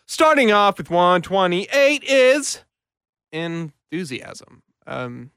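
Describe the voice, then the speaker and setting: A man's voice: high voice, sing-song, slow, fairly bright tone, smooth, no echo. A man hosting a podcast in a casual chat, upbeat and rambling, with many fillers, close to a studio mic. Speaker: podcast host.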